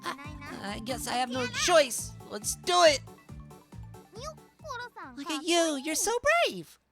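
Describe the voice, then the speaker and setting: A high, childlike anime animal girl, acted by a woman, speaking visual novel dialogue. She is cute, playful and affectionate toward her friend, with clearly audible game music underneath. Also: very animated, slow, full character voice. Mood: excited.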